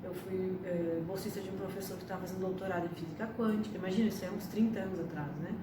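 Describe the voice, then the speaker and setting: A woman's voice: mid-range voice, steady tone, quick pace. A woman, telling her own life story to camera in a chatty way, with frequent tag questions. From Argentina. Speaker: astrologer and psychotherapist. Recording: echoey room from a distance with background noise.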